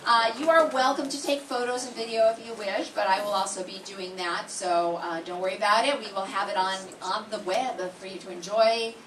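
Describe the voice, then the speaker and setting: A woman's voice: mid-range voice, steady tone, brisk, hardly any pauses, fairly bright tone, recorded from a distance. A woman teaching the class, giving chatty, joking welcome remarks to an audience. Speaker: class instructor. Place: college hall with live audience. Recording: echoey room from afar, crowd noise.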